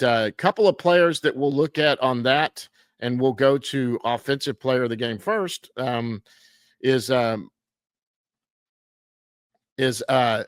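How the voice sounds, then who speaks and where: A man's voice: mid-range voice, fairly bright tone, dry recording. A man hosting a podcast, recapping minor league baseball in a casual, folksy way, full of fillers and stumbles over players' names. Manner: self-deprecating, folksy.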